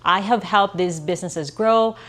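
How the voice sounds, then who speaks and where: A woman's voice: medium pitch, moderate pace, fairly bright tone. A woman, talking straight to camera about her outsourcing business in an earnest, emphatic way. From Philippines. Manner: earnest, emphatic, repeats for stress. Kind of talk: direct-to-camera business talk.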